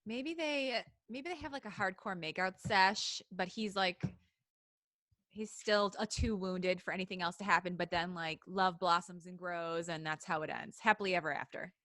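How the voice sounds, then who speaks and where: A woman's voice: fairly low pitch, normal speed, a bit bright, no echo, clean studio sound. A woman co-hosting a casual, comedic podcast chat, giggly and a little hesitant as she talks. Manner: giggly, hesitant when recapping. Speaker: podcast co-host. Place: recorded remotely over a video call.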